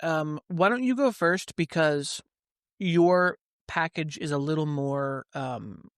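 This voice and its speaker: A man's voice: on the high side, slow pace, fairly bright tone, clean tone, dry recording, studio quality. A man co-hosting a podcast, telling rambling stories in casual chat. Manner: rambling anecdotes with fillers. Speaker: podcast co-host.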